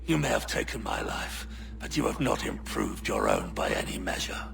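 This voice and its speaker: An actor playing a haughty, venomous navy commodore, pompous and sneering in a video game cutscene. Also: male voice, low-pitched, huge pitch swings, moderate pace, gravelly, a bit bright, slight background noise, heavily acted. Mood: outraged contempt.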